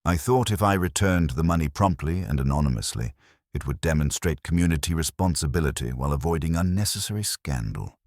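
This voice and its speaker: A man's voice: rumbling low, animated, a little fast, a little mellow, no echo, clean studio sound. A man voicing a self-justifying male council official in a mystery audiobook, stiff, bureaucratic and formal. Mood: defensive, formal. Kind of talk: audiobook mystery character dialogue.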